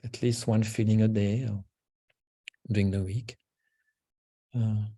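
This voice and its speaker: A man speaking gently and slowly as a parenting coach in a webinar talk over a video call, often repeating words softly. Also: fairly low pitch, flat delivery.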